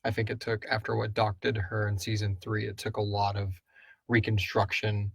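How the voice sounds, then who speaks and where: A man's voice: lowish voice, monotone, brisk, gravelly, fairly bright tone, breathy edge, no echo. A man co-hosting a casual live question and answer chat, rambling loosely and searching for his words. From Houston, Texas area. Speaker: co-host and audio drama creator answering listener questions.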